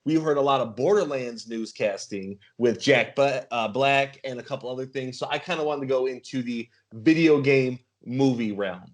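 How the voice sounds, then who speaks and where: A man's voice: mid-range voice, normal speed, hardly any pauses. A man hosting a casual podcast game in a playful, mock-announcer way. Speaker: game show host on a podcast.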